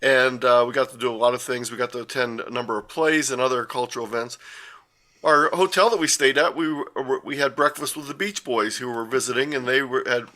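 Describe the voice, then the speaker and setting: An older man tells a casual story over slides, wandering off track and correcting himself as he goes. His voice is bright and forward, at an ordinary middle pitch, heard over a video call. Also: steady tone, brisk. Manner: rambling, with frequent uh and self-corrections. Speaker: guest presenter.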